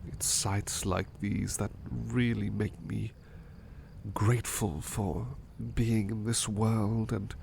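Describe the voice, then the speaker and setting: A man playing a tender, courteous butler in love, murmuring gently and hesitantly in a soft romantic ASMR roleplay. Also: lowish voice, level tone, takes it slowly, creaky, a little hiss or hum. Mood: adoring.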